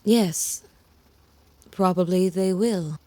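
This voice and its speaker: An actress playing a cautious, practical woman park staffer in an audio drama, measured and a little hesitant. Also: on the low side, warm tone, no room sound. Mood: cautious, reluctant.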